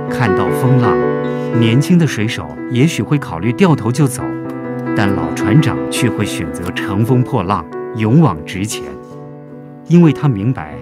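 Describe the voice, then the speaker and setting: Man playing low-voiced patient teacher, music behind. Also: lightly acted. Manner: measured, encouraging delivery. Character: kindly, patient male schoolteacher giving encouragement. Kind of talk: radio drama acting.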